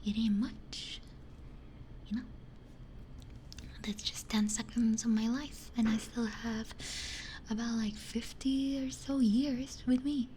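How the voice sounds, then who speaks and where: A woman whispering softly and unhurriedly in casual ASMR chat, musing in short phrases close to a binaural microphone. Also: fairly high pitch, fairly even intonation, takes it slowly, pauses often. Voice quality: breathy whisper. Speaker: ASMR livestreamer. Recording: close-miked with faint background noise.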